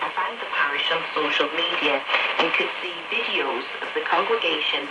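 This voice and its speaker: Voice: mid-range voice, expressive, moderate pace, distant mic. Reporter narrating over heavy shortwave radio noise. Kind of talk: scripted narration. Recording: noisy crackly shortwave radio reception.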